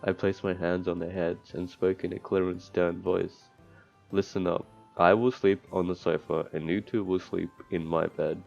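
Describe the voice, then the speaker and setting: A man's voice: low-pitched, level tone, normal speed, gravelly, a little mellow. A man streaming a visual novel, reading its text aloud and adding crude, sardonic, profane commentary. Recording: dull lo-fi mic, music behind. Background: game music.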